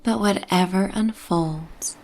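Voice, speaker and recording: A woman narrating a bedtime sleep story in a soft, breathy, half-whispered, soothing ASMR manner. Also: medium pitch, slow.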